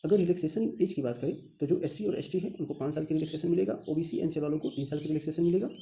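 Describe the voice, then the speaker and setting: An Indian man with a slightly high, creaky voice gives an informal instructional explainer. His tone is very dark and soft-edged. He often asks a question and then answers it himself, checking in as he goes. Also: rapid-fire, highs cut off. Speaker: online teacher explaining a job exam notification.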